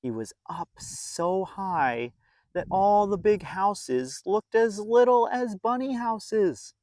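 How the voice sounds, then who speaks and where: A storyteller reading a picture book aloud to young children in an expressive, animated way with dramatic pauses. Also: medium pitch, no room sound, clean studio sound.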